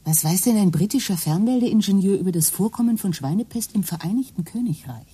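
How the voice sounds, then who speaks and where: A woman's voice: medium pitch, lively intonation, brisk, mellow, breathy edge, dry recording. An actress playing a middle-aged wife in a radio play, dry and sarcastic with her husband.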